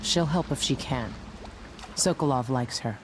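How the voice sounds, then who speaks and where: A woman's voice: a bass voice, a little slow, noisy background. An actress playing a blunt, practical woman boat captain in a video game, speaking in a dry, matter-of-fact way. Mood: steady. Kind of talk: video game cutscene dialogue.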